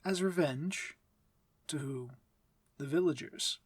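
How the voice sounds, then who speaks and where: Man voicing a husky, commanding vampire lord. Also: on the high side, no room sound, clean studio sound. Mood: cool, stern. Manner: formal, measured, commanding diction. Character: courtly, aloof but civil male vampire master. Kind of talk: ASMR fantasy audio roleplay. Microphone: close, dry microphone.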